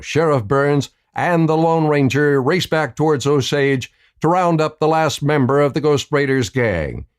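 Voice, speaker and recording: A man giving dramatic scripted narration for an old-west radio play. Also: medium pitch.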